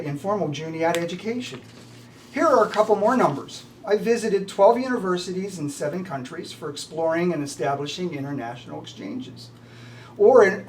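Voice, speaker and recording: An older man, a retiring college professor, giving prepared farewell remarks. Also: high voice. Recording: distant mic with slight background noise.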